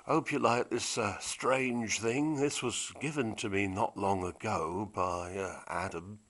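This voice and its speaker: Very deep-voiced British man, casually chatting. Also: measured pace, a bit bright. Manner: wry, understated, chatty. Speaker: presenter demonstrating vintage audio equipment. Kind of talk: casual unscripted demonstration chat.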